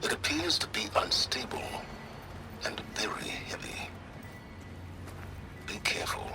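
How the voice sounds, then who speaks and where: Game role: middle-aged manipulator, low register, articulate, condescending polish, under a loud score. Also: male voice, big pitch swings, a little slow, in a whisper. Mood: wry concern. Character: erudite, condescending, manipulative older male schemer. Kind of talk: measured video game voice acting. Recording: loud music behind. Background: loud game music.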